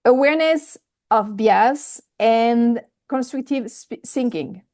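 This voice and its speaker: A woman with a strong French accent speaking on an online video call, careful and a little halting over her words. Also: a little high, darkish tone. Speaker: volunteer timekeeper at a group meeting. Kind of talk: reading a prepared announcement aloud.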